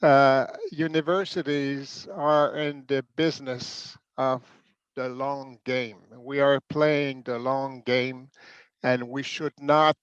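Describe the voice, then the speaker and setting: A middle-aged man with a smooth, resonant voice speaks in a measured way, often pausing on fillers and repeating words. The sound is dry and a bit dull, like a video call. He talks as a university president on a panel. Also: mid-range voice, steady tone, no room sound. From Canada. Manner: measured, with frequent fillers and restarts. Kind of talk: panel talk.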